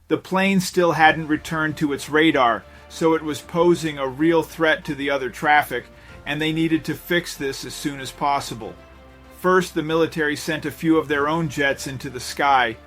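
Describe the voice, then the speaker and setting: Male narrator, lighter register, for suspenseful scripted storytelling; measured delivery over a soft music bed. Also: a little high. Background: background music.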